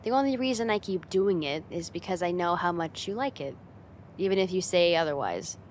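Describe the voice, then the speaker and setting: Girl voice acting a teasy confident older sister type, asmr roleplay, super lively up and down pitch, casual, bit of rumble in the background. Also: fairly quick, lightly acted. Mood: soft, affectionate. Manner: playful, teasing, casual, giggly, lightly exasperated. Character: teasing, confident young woman, a friend's older sister. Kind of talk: ASMR roleplay, spoken to the listener.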